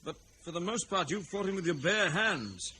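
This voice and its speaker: Civilized young gentleman, earnest and well-spoken, bright higher voice, vintage radio adventure acting. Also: normal speed. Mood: grateful, earnest. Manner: polite, excitable, well-spoken. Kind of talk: old-time radio drama acting. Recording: noisy old radio serial recording.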